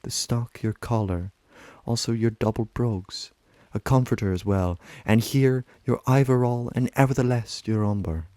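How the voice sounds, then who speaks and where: A man's voice: medium pitch, moderate pace, dark tone, dry recording, close to an everyday voice. A man reciting a lyrical literary monologue as a weary, tender aging wife and river woman, in an intimate manner. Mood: fond.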